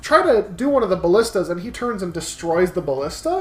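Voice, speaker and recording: A man swaps casual gaming stories with lively swings in pitch and plenty of swearing. The recording has a roomy echo and faint game music behind the voice. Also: quick pace. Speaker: co-host of a gaming playthrough show. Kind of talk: casual storytelling chat over a video game.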